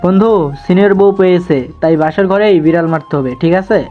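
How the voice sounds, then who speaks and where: A man's voice: high voice, a little fast, narrow, tinny audio, soft background music, natural voice. A man playing a teasing young friend of the groom in an audio love story.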